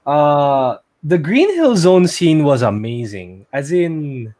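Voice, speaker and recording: Man, lightly high-pitched, chatting informally. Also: big pitch swings, slow, a little mellow. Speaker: podcast co-host. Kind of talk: casual chat about movies.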